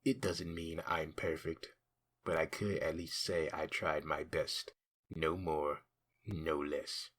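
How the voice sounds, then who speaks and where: An actor playing a calm, dutiful male police officer in a comic dub, husky, measured, polite and formal. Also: very deep voice, heavily acted. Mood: calm, earnest.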